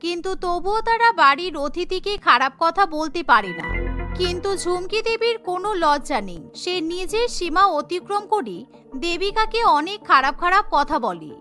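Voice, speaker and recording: Bright high-voiced woman narrating a cartoon. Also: big pitch swings, moderate pace, soft background music. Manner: storytelling, voices every character.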